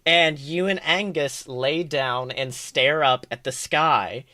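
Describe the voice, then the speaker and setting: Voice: mid-range voice, takes it slowly, pushed and bright, no echo, clean studio sound. A game master narrating a tabletop adventure in an informal, improvised way, swearing casually. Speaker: game master and podcast host.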